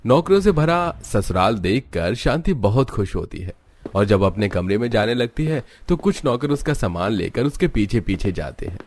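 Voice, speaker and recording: A man's voice: on the low side, sing-song, normal speed, darkish tone. A man reading scripted storybook narration for a cartoon tale.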